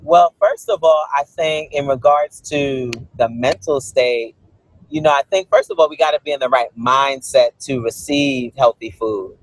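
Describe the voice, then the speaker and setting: A man's voice: mid-range voice, lively intonation, moderate pace, no room sound, poor audio. A man from Guyana, a cookbook author, talking earnestly in an interview and often saying "you know". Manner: earnest, explanatory, frequent "you know" fillers. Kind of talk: interview conversation.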